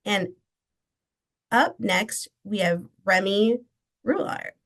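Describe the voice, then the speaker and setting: A woman's voice: medium pitch, lively intonation, takes it slowly, a bit bright. A woman presenter gives emphatic, encouraging praise and introduces the next speakers. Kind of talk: upbeat spoken praise and introductions.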